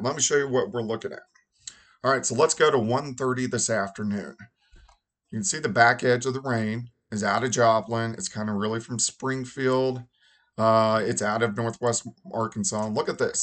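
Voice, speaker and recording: A hoarse meteorologist in his forties talks through the weather in a loose, chatty way, with a lowish voice. He speaks from a small home office at his desk, close to his webcam. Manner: relaxed, conversational with fillers. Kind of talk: casual weather forecast.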